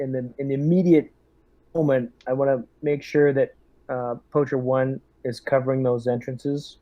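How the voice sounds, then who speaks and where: A man playing a squad leader in a tabletop game, giving orders and asking questions in a measured, businesslike way. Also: medium pitch, no echo, lo-fi sound. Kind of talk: casual in-character orders and questions.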